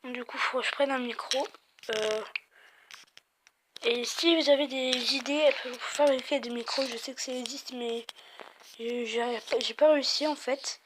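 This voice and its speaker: A high-pitched woman with a southern French accent rambles through casual game commentary, pausing and hesitating often. She records on a glitchy phone microphone, and loud noise hisses under her voice throughout. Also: steady tone. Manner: rambling, chatty, many hesitations. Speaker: let's play host.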